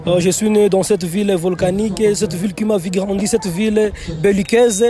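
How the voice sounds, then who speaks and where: A young Congolese man with a notably high voice speaks earnestly, stacking and repeating phrases to drive his points home. He is recorded outdoors, with a thick crowd audible around him. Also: fairly even intonation, moderate pace. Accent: Congolese French. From Goma, DR Congo. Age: young adult. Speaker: NGO director and youth political official. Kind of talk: on-location interview answers. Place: outdoors on location. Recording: loud crowd noise behind.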